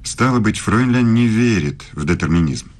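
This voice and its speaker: A very low male voice, a middle-aged professor in a radio play. He courts in formal, measured phrases, intimate and insinuating. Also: lively intonation. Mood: earnest, guarded. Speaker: radio drama actor.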